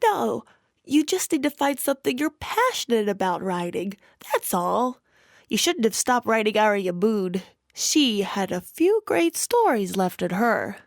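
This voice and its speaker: An animated female pony voiced by an actress with swooping intonation and a mid-range pitch. She is a caring, candid friend who encourages and nudges in conversational drama. The recording is dry. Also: a little slow, vocal fry, no room sound. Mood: caring, insistent. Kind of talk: conversational audio drama dialogue.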